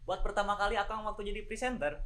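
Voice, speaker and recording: A man's voice: sits high, fairly even intonation, fast, bright, forceful tone. A young man asking casual interview questions as a student podcast host, with some noise behind him. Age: young adult. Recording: clear background noise, room ambience.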